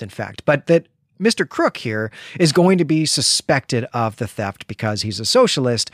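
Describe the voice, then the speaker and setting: A middle-aged man hosting a literary podcast, talking through a story in an emphatic, explanatory way with pauses mid-phrase. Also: medium pitch, a bit bright, no room sound, studio quality. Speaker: podcast host. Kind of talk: conversational literary plot summary.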